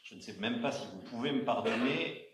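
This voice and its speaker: A man's voice: medium pitch, a crowd in the background. Hesitant man moderating a panel. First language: not Arabic. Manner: halting, many fillers and restarts. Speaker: round-table moderator. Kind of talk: hesitant spoken introduction at a panel. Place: auditorium. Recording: echoey auditorium sound.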